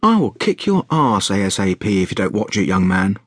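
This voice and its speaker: Guy doing a grumpy older lady shopkeeper from a story, snide and rude, pitch all over, dry recording. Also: very animated, fairly quick, husky, dry recording. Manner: icily polite, sarcastic, threatening.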